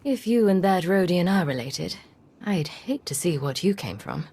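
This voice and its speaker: A woman's voice: fairly low pitch, expressive, normal speed, warm tone, husky. A woman playing a cool, sardonic imperial agent in a video game, dry, clipped and controlled. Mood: dry, probing.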